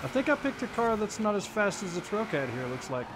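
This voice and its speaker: A man's voice: high-pitched, sing-song, fast. Easygoing 41-year-old man streaming games. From Kentucky, USA. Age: 40s. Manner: easygoing, chatty, teasing viewers. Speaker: game streamer. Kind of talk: casual live-stream chat while racing. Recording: game car noise behind voice. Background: racing game engine sounds.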